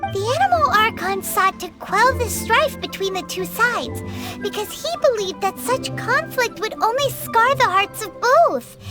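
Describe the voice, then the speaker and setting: A woman voicing a tiny, eager, childlike fairy companion with a squeaky voice in a video game. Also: lively intonation, unhurried. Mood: eager, earnest. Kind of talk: video game character dialogue. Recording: loud music behind the voice. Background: game music.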